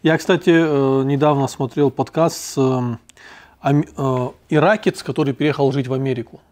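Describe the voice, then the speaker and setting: A man from North Ossetia hosting a conversational podcast, speaking thoughtfully with frequent pauses and fillers. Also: mid-range voice, steady tone, darkish tone. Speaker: podcast host.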